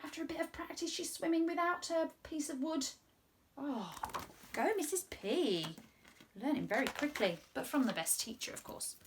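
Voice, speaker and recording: Animated young woman storyteller. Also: high-pitched, moderate pace, background noise. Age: 20s. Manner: expressive, encouraging. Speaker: children's entertainer and storyteller. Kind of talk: animated children's story reading. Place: small room at home, indoors.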